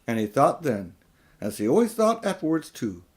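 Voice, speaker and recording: A man's voice: mid-range voice, sing-song, normal speed. An older man narrating a children's audiobook, with informal asides to the listener. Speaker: audiobook reader.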